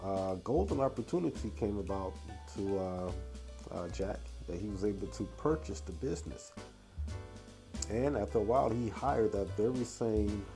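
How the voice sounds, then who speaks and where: A man's voice: on the low side, moderate pace, creaky, mellow, faint music behind. A man in his sixties from Detroit, hosting a casual whiskey review with a stuffy nose from a cold, talking in an easygoing, chatty way. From Detroit, USA. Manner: easygoing and chatty, with frequent uh and you know. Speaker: whiskey reviewer and channel host. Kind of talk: casual spoken review and storytelling.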